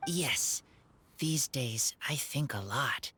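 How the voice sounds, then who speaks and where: A shy, earnest wolf-raised teenage boy in a video game. The voice is low and slow, moving in halting, simple phrases with expressive swings, over faint noise. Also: full character voice. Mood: pensive, earnest. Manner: halting, simple broken sentences.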